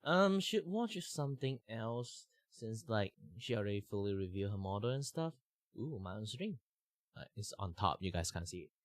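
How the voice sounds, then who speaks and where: A young man from Malaysia, slightly sick with a rough throat, chatting casually and rambling on his art stream with many fillers and restarts. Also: lowish voice, big pitch swings, normal speed, no room sound, studio quality.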